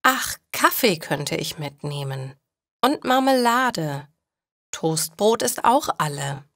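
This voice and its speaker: A woman's voice: low voice, very animated, takes it slowly, gravelly, a bit bright, dry recording, studio quality, full character voice. A woman voicing a forgetful female shopper who muses to herself in a storybook for language learners. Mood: musing. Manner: self-talking, musing.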